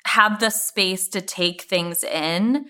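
Woman, medium pitch, bright forceful tone, informal podcast co-host, conversational, frequent "like". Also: slow pace. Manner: informal, peppered with "like" and "you know". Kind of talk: casual TV recap discussion.

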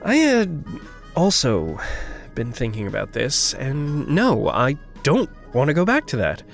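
A woman playing an earnest, anxious young character with a halting manner in an audio drama. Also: extremely low pitch, wildly swooping pitch, gravelly, faint music behind, heavily acted. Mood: earnest, uneasy. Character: earnest, hesitant, anxious young person, grateful to friends. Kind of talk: naturalistic audio drama acting.

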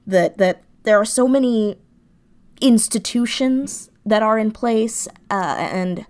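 Female interview guest, creative type. Conversational, reflective, halting delivery that doubles back on itself. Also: a little high, darkish tone. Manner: thoughtful, halting, circles back over phrases. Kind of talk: casual, reflective interview chat.

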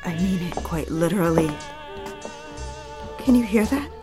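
Actress as bitter tipsy wife, audio drama, slow needling delivery, theatrical, faint music behind. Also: female voice, fairly low pitch, expressive. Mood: haunted, eerie. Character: troubled, drunken wife, haunted, bitter and suspicious.

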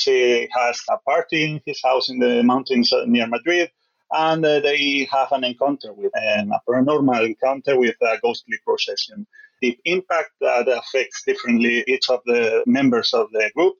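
A man's voice: medium pitch, normal speed, vocal fry, fairly bright tone, muffled recording. A man from Spain with a Spanish accent, a guest author answering interview questions over a remote call, hesitant and full of fillers as he searches for English words.

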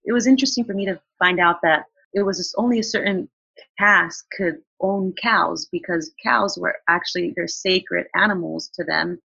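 Husky woman chatting about travel. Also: lowish voice, no room sound. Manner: chatty, relaxed, many fillers and restarts. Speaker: guest. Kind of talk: casual interview answers. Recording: dull lo-fi sound.

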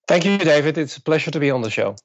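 A middle-aged man from the Netherlands with a Dutch accent, speaking as an interview guest in a thoughtful way with many pauses. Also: fairly high pitch, animated, dry recording, highs cut off. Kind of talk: interview conversation.

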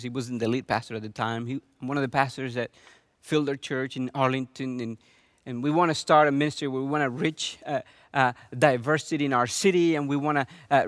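Earnest pastor, second-language English, dry. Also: male voice, mid-range voice, a bit bright, no room sound. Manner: earnest, with frequent restarts and repetitions. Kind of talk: sermon with personal testimony.

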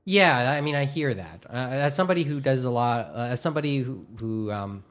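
A man hosting a podcast in casual chat, with a halting, rambling delivery full of false starts. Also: medium pitch, lively intonation, fairly bright tone. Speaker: podcast host. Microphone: microphone through a mixer.

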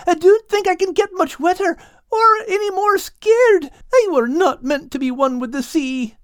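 High-pitched whiny, panicky animal. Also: male voice, normal speed, dry recording, clean studio sound. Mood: panicked. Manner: plaintive, wailing, simple-hearted. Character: timid, whiny, soggy male animal, easily panicked.

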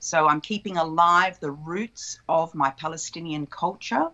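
A woman in her fifties with a notably low voice speaks in an unhurried, reflective flow, a guest sharing her life story. The sound is a little dull and lo-fi. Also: sharp tone. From Australia. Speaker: guest, childbirth educator. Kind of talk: relaxed interview conversation.

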